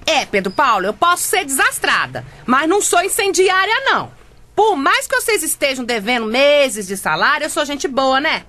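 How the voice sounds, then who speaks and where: A Brazilian woman playing a scatterbrained, cheeky, excitable housemaid in a comic radio drama, exaggerated and folksy, repeating words insistently. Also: high voice, moderate pace, heavily acted. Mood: indignant. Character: scatterbrained, cheeky housemaid, excitable, always chasing overdue wages.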